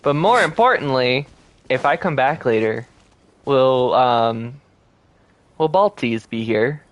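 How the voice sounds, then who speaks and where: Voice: mid-range voice, animated, slow, no echo, dull audio. A game streamer giving casual, chatty gameplay commentary. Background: game music.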